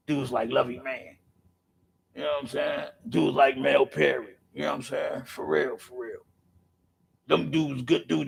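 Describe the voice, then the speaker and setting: A middle-aged man with a raspy voice, speaking in an impassioned, profane monologue on a podcast, filling his pauses with "man" and circling back through restarts. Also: mid-range voice, poor audio. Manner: rambling with restarts. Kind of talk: impassioned street-life monologue.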